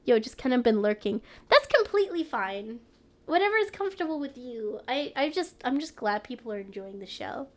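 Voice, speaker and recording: A woman's voice: fairly high pitch, expressive, brisk. Stuffy-nosed young woman rambling on stream. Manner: rambling, trailing off, frequent laughs. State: stuffy nose. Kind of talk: casual live-stream chat.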